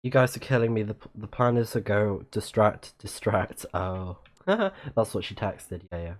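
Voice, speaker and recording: A man's voice: fairly low pitch, animated, a little slow. A man doing casual let's-play commentary on a livestream, often reading in-game text aloud and correcting himself when he stumbles. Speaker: livestreaming gamer and channel host.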